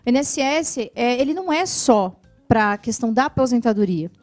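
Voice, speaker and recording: A Brazilian woman answering viewers' questions about benefits in a TV interview, explaining patiently and clearly, often adding "né". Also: fairly high pitch, lively intonation, moderate pace, a little mellow, no room sound, faint music behind. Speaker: social security lawyer guest. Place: TV studio.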